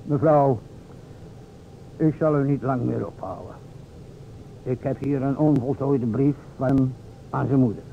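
An actor playing a solemn, theatrical investigator in an old radio drama, speaking formally and deliberately to a widow in a gravelly voice. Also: male voice. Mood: insinuating, polite. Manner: formal, deliberate, dramatic storytelling with pointed pauses. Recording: vintage radio, narrow band, hiss and rumble.

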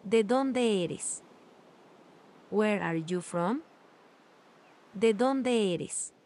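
Woman, language drill reader, very slow, measured, mid pitch. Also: animated, a little mellow, a little hiss or hum. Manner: reads each phrase slowly with long pauses. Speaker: language teacher. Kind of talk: slow repeated phrase drills.

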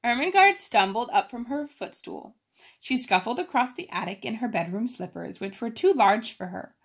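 A woman reads a story aloud to children in an expressive, informal manner, stopping for casual explanations. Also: on the high side, moderate pace, creaky, husky.

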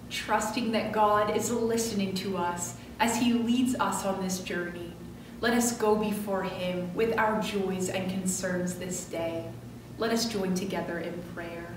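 A middle-aged woman minister leading a scripted prayer in a measured, solemn way, phrase by phrase, from a church pulpit. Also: mid-range voice, fairly even intonation. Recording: roomy distant church recording with clear noise.

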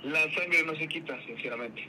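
A man's voice: fairly high pitch, moderate pace, sharp tone, slight background noise. A man with a Mexican accent on a phone call with a customer, polite and hesitant, often repeating himself. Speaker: furniture cleaning service worker. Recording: phone call with music behind.

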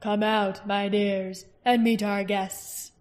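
A woman voicing a cruel, smooth-talking male tyrant king in an audiobook reading, slow and mocking. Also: mid-range voice, level tone, darkish tone, close to an everyday voice. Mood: menacing.

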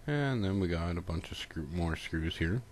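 A man's voice: sits low, lively intonation, moderate pace, a little hiss or hum. A man casually narrating a step-by-step repair tutorial in an informal, folksy, unscripted way.

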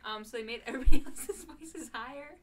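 A woman's voice: high-pitched, brisk, noisy background. A young adult woman co-hosting a podcast in casual, chatty banter, giggly and self-deprecating. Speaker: podcast co-host.

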